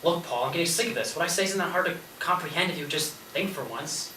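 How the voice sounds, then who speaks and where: A man's voice: a little high, fast, pushed and bright, recorded from a distance. A man in an amateur stage play acting a wordy, defensive, argumentative young pedant. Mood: matter-of-fact, impatient. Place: indoor stage, recorded at a distance. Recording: echoey stage recording from afar, faint noise.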